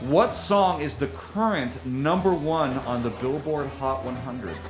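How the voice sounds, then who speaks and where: A man's voice: mid-range voice, music in the background, poor audio. A man co-hosting a live charity gaming stream, reading donations and trivia in a playful, teasing way. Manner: playful, teasing, quick to laugh. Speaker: marathon co-host reading donations. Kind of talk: casual live-stream banter.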